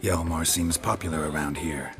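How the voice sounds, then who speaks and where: An actor playing a gruff, stoic monster hunter in a video game, with terse, deadpan lines in a low, gravelly voice. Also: male voice, moderate pace, over music. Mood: flat, probing. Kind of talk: video game dialogue acting.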